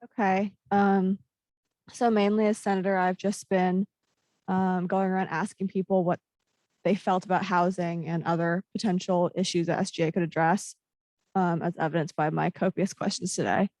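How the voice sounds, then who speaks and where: A woman with a lowish voice gives a senator's report, halting and filled with ums. Her audio is dry and close. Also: level tone, darkish tone, no echo. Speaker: student senator. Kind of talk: meeting report. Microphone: video call.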